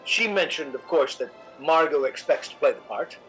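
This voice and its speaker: Man as cynical theatre critic: fairly high male voice, urbane, dry, controlling, radio-play polish, faint music underneath. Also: lively intonation, moderate pace, fairly bright tone, low-quality recording. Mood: coolly knowing. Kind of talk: recreated 1950s radio drama acting and narration.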